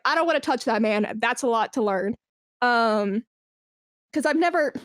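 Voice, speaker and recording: High-voiced woman chatting casually. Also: dry recording, studio quality. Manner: animated, self-deprecating, slangy. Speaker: podcast guest, esports brand manager. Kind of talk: casual chatty podcast interview.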